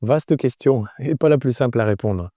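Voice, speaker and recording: A man in his forties from Romania, answering interview questions conversationally, with frequent pauses and repeated words. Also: medium pitch, mellow, dry recording, studio quality. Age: 40s. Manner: hesitant, pausing often with repeated words. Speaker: entrepreneur, interview guest.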